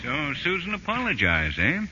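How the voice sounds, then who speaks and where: A man's voice: a little high, wildly swooping pitch, normal speed, sharp tone, slightly rough, heavily acted. A man acting a meddling, unflappable older uncle in a 1940s radio comedy, genial, needling and a little pompous. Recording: dull, faintly noisy vintage radio recording.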